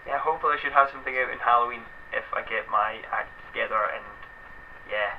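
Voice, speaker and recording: A man's voice: medium pitch, fast. A young Scottish man chatting as a guest, rambling with lots of fillers and self-mocking asides. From Scotland. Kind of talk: casual chat. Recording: slightly dull, faint noise.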